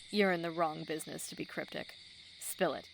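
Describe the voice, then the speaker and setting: A woman's voice: low voice, normal speed, gravelly, a little hiss or hum, rough recording. A woman playing a businesslike, weary police detective in an audio drama, with a dry manner. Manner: dry, no-nonsense.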